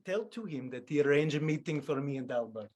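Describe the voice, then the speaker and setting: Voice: mid-range voice, expressive, fairly quick, a little mellow, lightly acted. An actor playing a flamboyant, pleading Italian film director with an Italian accent and theatrical broken English in a comedy screenplay read. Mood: weary, complaining.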